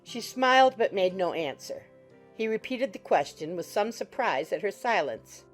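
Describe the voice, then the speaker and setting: A woman's voice: mid-range voice, animated, moderate pace. A woman reading scripted literary narration as an audiobook narrator. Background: soft music.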